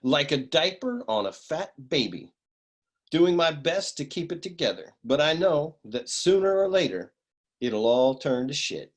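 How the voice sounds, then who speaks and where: Man acting as grumbling private eye, highish male voice, swooping delivery, cynical wisecracks, radio noir comedy. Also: sing-song, measured pace. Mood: wry. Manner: world-weary, grumbling, crude wisecracks.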